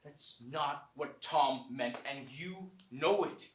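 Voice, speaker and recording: A man playing a placating, strained husband in a staged reading of a play, speaking in a halting, earnest, pleading way. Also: medium pitch, lightly acted. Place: small theatre, live stage performance. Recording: echoey, distant, noisy theatre recording.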